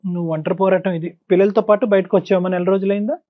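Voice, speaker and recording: A man's voice: sits high, steady tone, racing, no room sound, phone-quality sound. A middle-aged man hosting a counselling talk, asking probing questions in a paternal way and rephrasing points. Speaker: talk show host counsellor.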